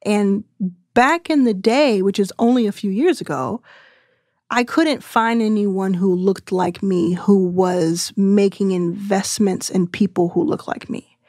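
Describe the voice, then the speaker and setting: Woman, 30s, podcast guest; measured and earnest, mid pitch, dry close-mic read. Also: mellow, no echo. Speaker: guest investor. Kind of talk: reflective podcast interview.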